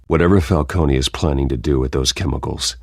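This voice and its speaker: An actor playing a brooding, guarded vigilante in a video game, terse and grave. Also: male voice, extremely low pitch, fairly quick, creaky, no room sound, clean studio sound, exaggerated voice. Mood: grim, determined. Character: brooding, guarded wealthy vigilante man in his prime.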